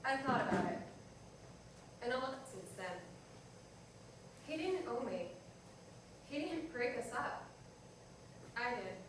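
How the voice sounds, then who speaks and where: A woman playing a wistful but resolute waitress full of regrets in a staged reading of a drama. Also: a little high. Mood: regretful, resolute. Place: indoor stage reading at an arts venue. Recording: very echoey noisy room, distant mic.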